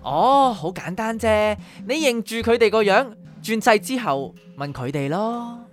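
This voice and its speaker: Actress as wry, philosophizing guide to the dead, Hong Kong Cantonese, mid-pitched with big expressive swings, audio drama. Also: female voice, a little slow, close to an everyday voice. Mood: flippant, wry. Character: flippant, philosophizing afterlife doctor guiding souls. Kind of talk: radio drama dialogue. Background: faint music.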